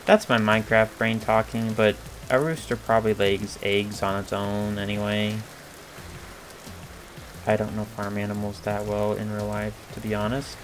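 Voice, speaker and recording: A hoarse man giving casual, hesitant commentary over a video game, thinking aloud with frequent pauses and fillers. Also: fairly low pitch, little pitch movement, a little hiss or hum. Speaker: gameplay video creator. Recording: clear game music under the voice. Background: game music and rain sounds.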